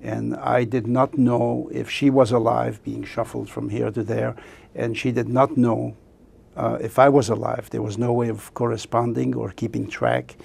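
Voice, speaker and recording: An older man whose native language is French, a guest author speaking reflectively in an interview with many pauses and fillers. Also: medium pitch, little pitch movement, creaky, a little mellow, husky.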